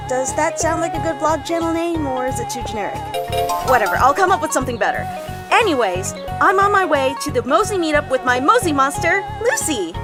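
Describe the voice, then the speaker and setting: Excitable young female gamer-vlogger for audio drama: high, lively and swooping, chatty and gushing, over music. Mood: cheerful, eager. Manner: gushing, giggly, swooping into squeals. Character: bubbly, excitable young female vlogger and monster-game fan. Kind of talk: audio drama acting as a chatty livestyle vlog.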